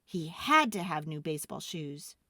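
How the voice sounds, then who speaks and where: Children's read-aloud narrator: woman, highly varied intonation, expressive and deliberate, dry close recording. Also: no echo. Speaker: storyteller.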